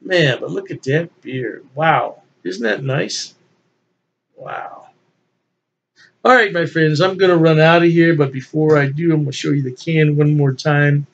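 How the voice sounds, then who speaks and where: An older man talks through a beer tasting in a relaxed, folksy way, chuckling to himself as he goes. His voice sits a little high for a man. The webcam sound is somewhat dull and lo-fi. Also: measured pace, creaky. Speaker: beer reviewer. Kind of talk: casual solo video review. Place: home office with beer memorabilia, indoors. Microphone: webcam at desk.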